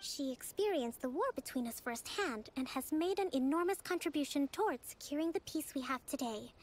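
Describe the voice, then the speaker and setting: A woman's voice: sits high, expressive, a little fast. A woman playing a poised, firm young island leader in a video game, speaking politely and articulately like a diplomat. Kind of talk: scripted video game dialogue.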